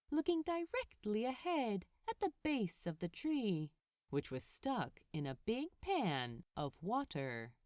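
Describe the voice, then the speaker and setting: A woman reading a story aloud as narrator, with expressive, deliberate phrasing. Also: medium pitch, dry recording, clean studio sound.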